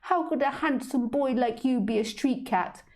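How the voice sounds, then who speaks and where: A woman voicing a tipsy lady who gushes at a cat in high, squeaky, slurred baby talk. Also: moderate pace, a little mellow. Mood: gushing. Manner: slurring, gushing, sing-song. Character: tipsy middle-aged woman cooing at a cat.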